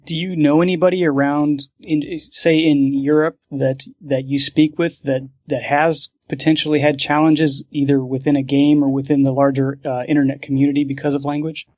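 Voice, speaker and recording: A man hosting a podcast, asking relaxed, thoughtful questions in casual conversation. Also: a little high, normal speed, few pauses, dry recording, studio quality. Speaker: podcast host.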